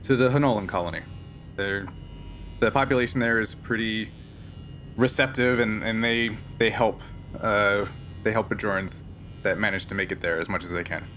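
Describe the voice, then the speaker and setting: A man playing a frank, weary smuggler held prisoner in a tabletop game, speaking in a halting, earnest way. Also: on the low side, bright, forceful tone, slight background noise. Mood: frank, guarded.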